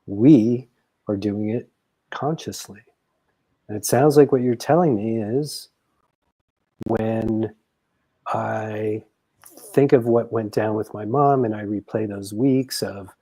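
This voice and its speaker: A man in a reflective coaching conversation, explaining things in measured, deliberate phrases. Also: on the low side, mellow, low-quality recording.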